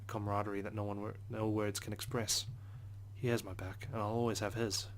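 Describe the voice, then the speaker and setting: Male let's play narrator: brisk, level delivery, earnest reading, slightly low pitch, faint noise. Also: little pitch movement. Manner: earnest, occasional stumbles while reading. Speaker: let's play host reading a visual novel aloud. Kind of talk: narration read aloud in first person.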